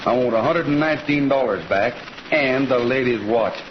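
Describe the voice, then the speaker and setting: Western serial lead, played by a man: mid-pitched, steady, plain-spoken cowboy, muffled noisy sound. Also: natural voice. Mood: firm, commanding. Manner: terse, unhurried, folksy. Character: laconic, steady Western cowboy rancher hero. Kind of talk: old-time radio Western acting. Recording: noisy, muffled old radio recording.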